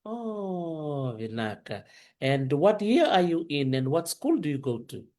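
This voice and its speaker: A talk show host with a warm, mellow voice at a middle pitch. The host asks questions gently, pausing often on "uh" and "eh" in a soft, unhurried interview chat. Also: very animated. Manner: soft-spoken, gentle questions with many hesitant fillers. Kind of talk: casual interview chat, switching between Fijian and English.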